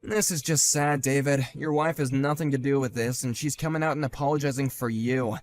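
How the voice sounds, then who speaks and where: A man voicing a calm, sardonic grown man who talks in a level, dry and cutting way, in a one-man voiced drama. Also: mid-range voice, a little fast, faint music behind, voice pushed down, natural voice.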